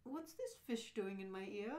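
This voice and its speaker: A woman's voice: mid-range voice, animated, normal speed, darkish tone, voice pushed down, lightly acted. A woman playing a bewildered, indignant human stranded in space, questioning everything in an amateur radio play. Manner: questioning, exasperated.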